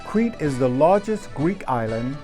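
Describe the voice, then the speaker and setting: A man narrating a travel show in a measured, descriptive scripted voice-over. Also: a little high, very animated, mellow, faint music behind.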